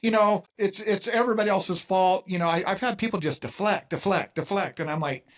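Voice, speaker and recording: A man's voice: high-pitched, a little fast. Emphatic folksy man hosting an interview. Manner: emphatic, folksy, acts out other people's lines. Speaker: podcast host. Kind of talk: casual interview chat.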